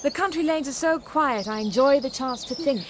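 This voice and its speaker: A proper, reserved young governess with a British accent, as an actress plays her, polite and measured in how she speaks. A faint hiss sits under the voice. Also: sits high, lightly acted. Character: young Victorian woman, music governess, proper. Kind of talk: radio drama acting.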